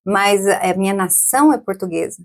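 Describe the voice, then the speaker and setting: A woman's voice: medium pitch, brisk. A woman in her forties, a podcast guest, chatting casually, laughing often and tagging questions onto her sentences. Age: 40s. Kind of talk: casual interview chat.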